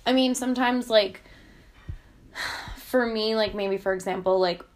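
A woman's voice: medium pitch, takes it slowly. A young woman who interviews in a casual talk, thoughtful and hesitant, with many "like" fillers. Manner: reflective, hesitant, heavy "like" fillers. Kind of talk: casual conversation.

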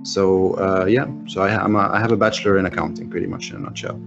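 A man's voice: low voice, steady tone, fast, vocal fry, dull audio, over music. A man from Egypt, a French speaker since school, talking earnestly as an interview guest, with many restarts. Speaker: interview guest, business owner. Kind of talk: casual interview talk.

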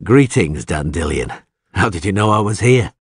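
A man voicing a calm, dry-humoured warrior in a story, terse and understated. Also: deep voice, normal speed, breathy edge, dry recording. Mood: dry, friendly.

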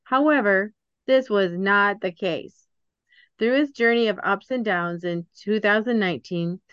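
A woman hosting a podcast, reading a prepared introduction of her guest in a steady way. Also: medium pitch, smooth, no room sound, dull audio. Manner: reads steadily from a prepared script. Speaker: podcast host.

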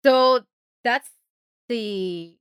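A woman's voice: a little high, extremely slow, a bit bright, clean tone, dry recording, clean studio sound. A woman talking casually as a podcast guest, informal, giggly and a little self-deprecating. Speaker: podcast guest and group member. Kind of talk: casual chat.

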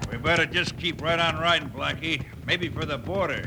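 A nervous outlaw with a wary drawl, played by a man. His voice sits a little high. The old radio recording carries a steady hiss. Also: moderate pace, lightly acted. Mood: uneasy. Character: nervous adult male outlaw brother. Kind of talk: 1950s radio drama acting.

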